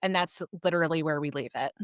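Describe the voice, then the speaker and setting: A woman's voice: low voice, fast, no room sound, studio quality. A woman co-hosting a podcast, recapping a story in an informal, conversational way. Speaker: podcast co-host. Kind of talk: casual book discussion, recapping a story.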